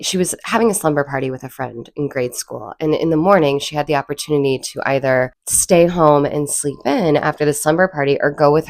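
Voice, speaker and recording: A woman hosts her own video channel in a deep, low register, her voice froggy and congested from a cold. She tells stories casually and chattily, drifting into little asides and laughing at herself. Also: darkish tone. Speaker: channel host. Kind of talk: casual storytelling vlog.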